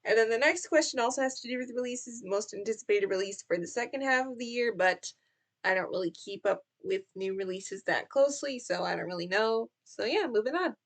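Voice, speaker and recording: A woman's voice: a little high, expressive, a little fast. A woman giving a casual, chatty book review as a vlogger, in an informal, hesitant way that often trails off and rephrases.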